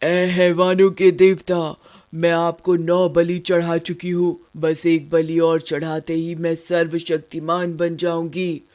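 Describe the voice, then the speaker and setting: A woman voicing a power-hungry witch chanting slowly and menacingly to a demon god in a cartoon horror story. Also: fairly low pitch, level tone, a little mellow. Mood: menacing, gloating.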